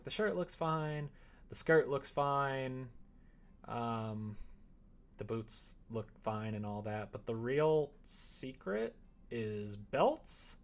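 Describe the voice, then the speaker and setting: A man streaming live, chatting casually with viewers and rambling as he thinks aloud. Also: mid-range voice.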